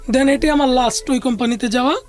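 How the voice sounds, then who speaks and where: A woman's voice: high-pitched, fast talker. A woman with a Bangladeshi accent telling a personal story as an interview guest, in casual, conversational language with some English words mixed in. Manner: casual colloquial Bengali with occasional English business words. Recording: music playing under the voice.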